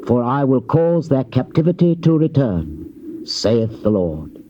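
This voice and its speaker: Solemn biblical prophet, bright voice. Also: male voice, medium pitch, big pitch swings. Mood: solemn promise. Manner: grave, declamatory, measured archaic delivery. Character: fervent, solemn male Old Testament prophet speaking God's word. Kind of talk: dramatized King James scripture reading, theatrical. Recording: muffled old cassette tape with hiss.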